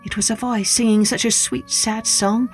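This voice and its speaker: A woman narrating a scripted children's story as a podcast host, with expressive, deliberate delivery. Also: on the high side, soft background music, poor audio.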